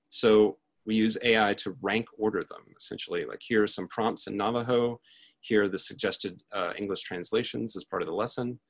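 This is a man with a fairly low voice. He speaks as a presenter giving a prepared research talk. His delivery is that of someone explaining work to an audience. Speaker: conference speaker, tech research lead.

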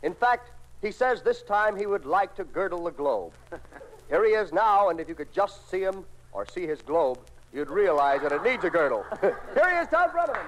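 Old timey american radio announcer guy, really high and swoopy, jokey, hissy noisy recording. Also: very animated, moderate pace, a bit bright. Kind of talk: 1940s radio show announcing. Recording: noisy 1940s radio broadcast.

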